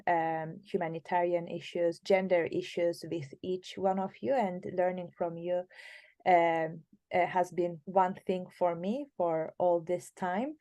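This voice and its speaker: A youth coordinator speaks on a video call with a somewhat low voice. She talks in a halting way, full of fillers. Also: dark tone. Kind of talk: online group discussion.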